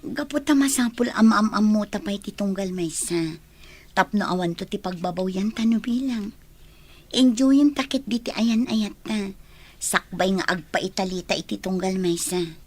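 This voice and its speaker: A woman with an Ilocano accent playing a composed young village woman being courted in a radio drama, measured and coy. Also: mid-range voice, natural voice. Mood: firm, reasoning.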